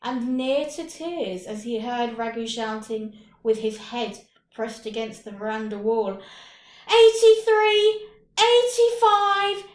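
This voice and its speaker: A woman narrates a short story in a fairly high voice. Her reading is very slow and expressive, with dramatic pauses between phrases. The room adds a clear echo. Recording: roomy, echoing room.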